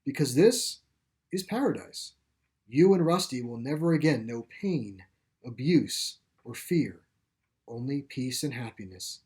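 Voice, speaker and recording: Man voicing a calm, reassuring sanctuary caretaker. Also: medium pitch, unhurried. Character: calm, reassuring pipe-smoking male caretaker of animal sanctuary. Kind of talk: storybook character dialogue.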